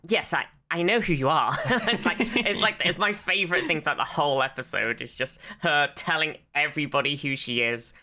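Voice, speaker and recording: A young man chatting loosely on a podcast, his voice bright and forward with a smooth, clear tone. He rambles through restarts and "um"s, circling back over his own sentences. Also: fairly high pitch. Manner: rambling, full of restarts and fillers, giggles while retelling jokes. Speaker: podcast co-host. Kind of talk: casual chat.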